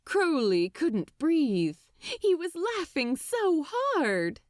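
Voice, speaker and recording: A woman narrates a story in an expressive, dramatic style. Her fairly high voice moves through very wide pitch swings at a slow, deliberate pace. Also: a little hiss or hum. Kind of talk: expressive scripted fiction narration.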